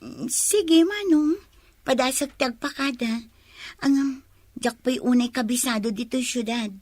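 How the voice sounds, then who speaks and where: A woman's voice: high-pitched, a little slow, a little mellow, dry recording. A woman playing a shy, sweet young provincial woman in an Ilocano radio drama, with an Ilocano accent. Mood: polite, shy.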